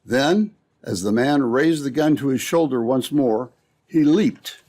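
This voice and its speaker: Highly animated male radio announcer. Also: measured pace. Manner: dramatic, emphatic delivery. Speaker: announcer and narrator. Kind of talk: scripted old-time radio narration.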